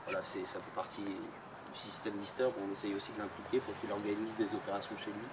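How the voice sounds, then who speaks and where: A man's voice: fairly low pitch, flat delivery, fast. Hesitant man, distant and noisy. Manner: hesitant, many fillers. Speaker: photojournalist interviewee. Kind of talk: interview answers. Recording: distant mic, loud outdoor noise.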